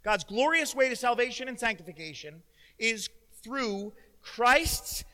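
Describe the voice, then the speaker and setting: A middle-aged man preaching a sermon as pastor, loud and emotional, with self-deprecating humor. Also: very high voice, lively intonation, a little slow. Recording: long hall reverb.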